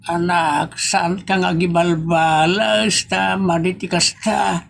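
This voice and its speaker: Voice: medium pitch, fairly even intonation, takes it slowly, vocal fry, fairly bright tone, exaggerated voice. A performer with an Ilocano accent playing an anxious, scolding elderly father in a comedy drama, worried and admonishing.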